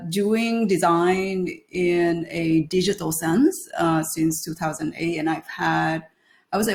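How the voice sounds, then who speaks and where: Vietnamese-accented woman giving a talk. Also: fairly low pitch. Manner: hesitant, many fillers and restarts. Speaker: guest speaker, design leader. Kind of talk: webinar talk.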